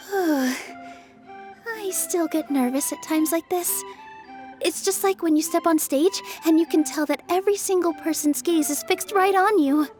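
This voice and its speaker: A young woman voicing a gentle, hopeful dancer who works magic, speaking softly and earnestly in a game cutscene. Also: very high voice, measured pace. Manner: soft, earnest, encouraging. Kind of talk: video game cutscene dubbing. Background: faint game music.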